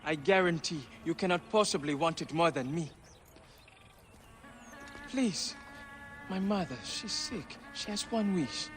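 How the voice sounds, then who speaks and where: An earnest young hustler in a video game, with a fairly high voice. He is insistent and pleading, and loud music plays underneath. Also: expressive, a little slow, pauses often. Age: young adult. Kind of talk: dramatic video game acting.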